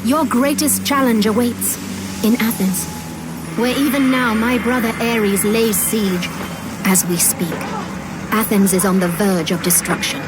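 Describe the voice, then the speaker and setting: An actress playing a commanding Greek goddess, speaking in a stately, formal, deliberate way in a video game. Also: female voice, a little high, over loud music, natural voice, poor audio. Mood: urgent, commanding. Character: regal, commanding Greek goddess of war and wisdom. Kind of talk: dramatic video game acting.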